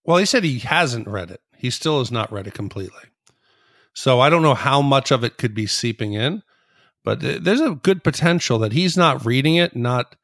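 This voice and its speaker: A man's voice: medium pitch, fairly bright tone, dry recording, clean studio sound. A man hosting a TV recap podcast, talking in an explanatory way as he discusses a show. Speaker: podcast host.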